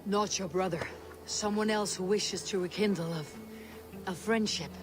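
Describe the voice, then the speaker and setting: An actress playing a steady Viking warrior woman in a video game cutscene, speaking in a measured, direct, understated way. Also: medium pitch, music in the background, natural voice. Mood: reassuring.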